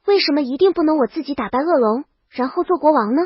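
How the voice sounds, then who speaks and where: Woman playing storybook princess: young, proud, earnest, questioning, high voice, dull dry recording. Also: lively intonation, fast, dry recording. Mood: earnest, insistent. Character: proud, determined young princess eager to prove herself.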